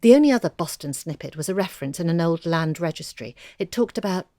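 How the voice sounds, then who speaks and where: An actress as a bookish woman writer in an audio drama, low-pitched and closely recorded in a dry space. She is articulate and confiding, telling her research like an intimate story, with real enthusiasm. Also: lively intonation, quick pace, mellow, no room sound, natural voice. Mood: intrigued, eager.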